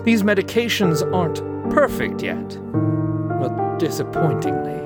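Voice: mid-range voice, very animated. An actor as a smug, swooping, theatrical doctor. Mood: clinical, stern. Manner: condescending, mock-polite, drawn-out theatrical phrasing. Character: smug, theatrical, manipulative doctor running sinister institution. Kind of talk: audio drama dialogue. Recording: loud music under the voice. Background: music.

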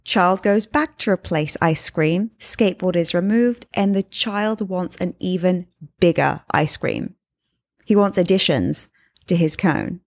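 A woman's voice: on the low side, unhurried, no room sound, studio quality. A woman in her thirties from England, whose accent mixes English and Australian, tells a story in a chatty podcast monologue and addresses listeners as her friends. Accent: English and Australian mix. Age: 30s. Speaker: podcast host and life coach.

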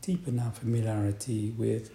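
A man leading a guided meditation as a teacher, speaking in a calm, deliberate manner with long pauses. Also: fairly low pitch. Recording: roomy echo, faint background noise.

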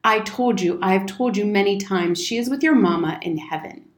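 A woman's voice: mid-range voice, moderate pace, natural voice. A woman acts out a blustering old soldier from a storybook. He is pompous and wordy, leaning hard on his words, in a room with noticeable echo. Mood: dismissive, insistent. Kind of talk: storybook character reading. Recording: roomy, echoing indoor sound.

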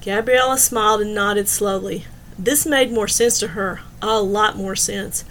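A woman's voice: mid-range voice, a little slow, a bit bright, slight background noise. A woman reading scripted fiction as an audiobook narrator.

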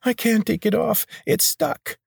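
A man voicing an oily, pudgy male healer with a nasal, squeaky, wheedling voice. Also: sing-song, normal speed, a little mellow, dry recording, studio quality.

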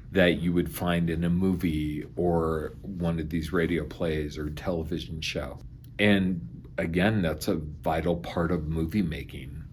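A man's voice: deep voice, a little hiss or hum. A man, a theatre director, explaining in an interview in a matter-of-fact way.

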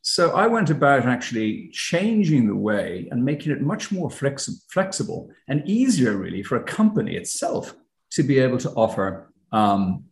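An Irish man, a company founder, explaining his business in a conversational interview with frequent ums and restarts. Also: medium pitch. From Ireland. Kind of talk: casual interview talk. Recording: roomy echo.

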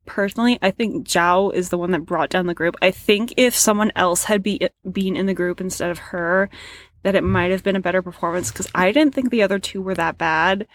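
A woman's voice: lowish voice, fairly quick, dry recording. A woman chatting casually about a competition show, rambling with lots of fillers and frustrated sighs. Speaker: co-host reacting to a competition show. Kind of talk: casual reaction chat.

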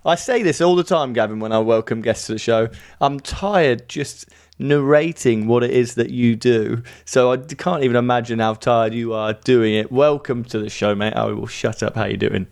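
Male host, Brummie accent, mid-pitched and crisp, chatty and informal podcast delivery. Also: moderate pace, darkish tone. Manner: informal, self-deprecating.

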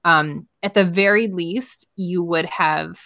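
A woman's voice: lowish voice, slow, pushed and bright, dry recording, studio quality. A woman co-hosting a talk show, giving casual conversational advice in an agreeable, encouraging way.

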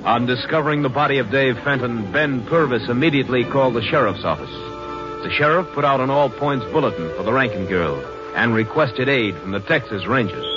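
A man narrating a 1950s radio drama as the announcer, with a formal, even delivery. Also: mid-range voice. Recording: dull old radio recording with music behind.

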